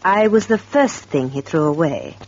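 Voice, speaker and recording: An actress playing a proud, hardworking woman farmer with a firm will, brisk and emphatic in old radio drama style. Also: sits low, expressive, darkish tone. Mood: bitter, resolute. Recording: dull, noisy old radio recording.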